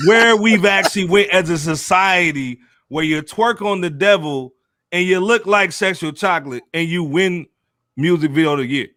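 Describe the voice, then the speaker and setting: A man's voice: sits high, normal speed, a bit bright, no echo. A man hosting a casual panel chat, speaking bluntly and full of slang. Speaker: podcast host.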